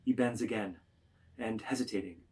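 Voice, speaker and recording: A man narrating a literary work, reading stage directions aloud with measured, deliberate phrasing. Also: fairly low pitch, warm tone, slight background noise.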